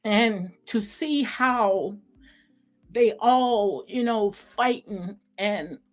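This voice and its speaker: A woman with a slightly hoarse voice, giving casual, rambling commentary as the host of a recap show in a conversational, heartfelt, repetitive way. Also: mid-range voice, very slow, faint music behind.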